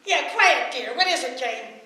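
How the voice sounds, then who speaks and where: Echoey, high-voiced brash maid. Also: female voice, expressive, moderate pace, a loud crowd. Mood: brisk. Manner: blunt, put-upon, argumentative. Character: brash, stubborn maid who talks back to her employers. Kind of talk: old radio domestic comedy acting. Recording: very echoey, distant, faintly hissy radio-play recording.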